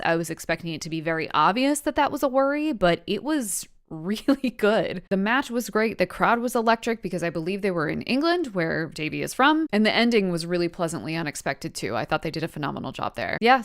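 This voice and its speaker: A woman's voice: medium pitch, clean tone. A woman in her thirties hosting a casual vlog, talking to viewers with wry, playful, self-deprecating asides. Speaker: YouTube host reviewing wrestling matches.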